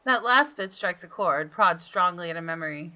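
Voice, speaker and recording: A woman hosts a game playthrough in a slightly low, bright voice. She narrates a visual novel with expression. She also drops in casual commentary of her own. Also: expressive, moderate pace. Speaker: gaming video host.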